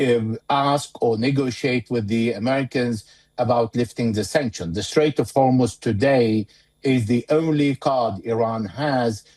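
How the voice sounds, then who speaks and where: A man giving interview commentary as a war analyst, speaking in a measured, deliberate way with pauses mid-phrase. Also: medium pitch, a little mellow, poor audio.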